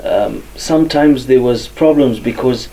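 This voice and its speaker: Soft-spoken man from Zimbabwe, mic distant. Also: medium pitch, unhurried. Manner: many fillers, soft-spoken. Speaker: guest. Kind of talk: hesitant personal testimony.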